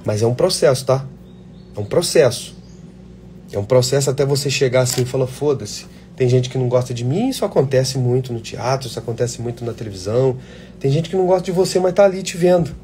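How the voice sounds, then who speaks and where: A man who works as an actor speaks to aspiring performers in a loose, live-chat way, blunt and fired up, cursing freely. His voice is mellow and middle-pitched. A faint hum sits under the recording. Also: expressive, fast talker. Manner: blunt, swears freely, passionate. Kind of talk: casual live chat answering viewer questions.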